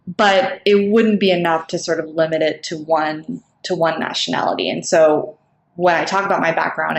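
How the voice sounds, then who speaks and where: A young woman raised in Singapore with an American-sounding accent, speaking in a thoughtful, measured way with frequent ums in a recorded summit talk. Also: on the low side. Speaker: nonprofit co-founder. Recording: echoey room, faint background noise.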